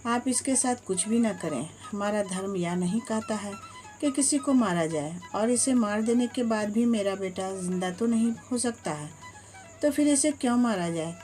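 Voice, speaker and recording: Woman reading as a calm forgiving holy man. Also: mid-range voice, normal speed, soft background music, lightly acted. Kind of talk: storybook narration.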